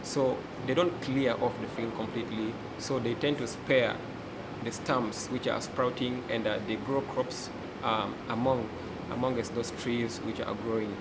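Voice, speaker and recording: A young man from Uganda with a Ugandan accent, speaking earnestly as a forest educator and delegate, often pausing with "uh". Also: medium pitch, fairly even intonation. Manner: earnest, many "uh" pauses. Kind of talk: interview testimony. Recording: loud background hum.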